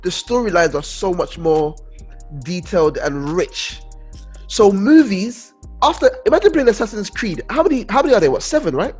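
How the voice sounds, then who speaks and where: A young man chatting casually as a podcast co-host, excitable and given to repeating his phrases. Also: sits high, normal speed, slightly rough, soft background music. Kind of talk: casual chat.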